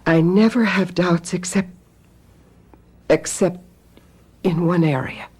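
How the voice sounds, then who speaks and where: An actress playing a bitter, sharp-tongued talent agent, a woman scorned, in a theatrical radio mystery drama with a biting, commanding manner. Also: lowish voice, slow, slightly rough. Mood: uneasy, reverent.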